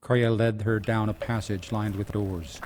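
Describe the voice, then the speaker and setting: A man's voice: on the low side, steady tone, unhurried, heavy background noise. A man narrating a scripted fantasy radio play in a dramatic storytelling style. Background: music.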